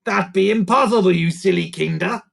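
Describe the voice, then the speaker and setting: A man's voice: sits high, moderate pace, gravelly, husky, voice pushed up, exaggerated voice. A man voicing a sly little creature that talks in broken, growly speech in a children's story. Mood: mischievous. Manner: growly creature voice with odd grammar. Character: sly male creaker creature, gruff and cheeky.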